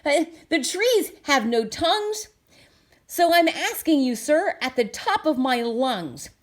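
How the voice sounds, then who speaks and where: Storybook creature: indignant old male forest guardian, bossy, sharp, high and slow, played by a woman reader. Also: big pitch swings, exaggerated voice. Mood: indignant, pleading. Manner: bossy, emphatic, shouting and puffing.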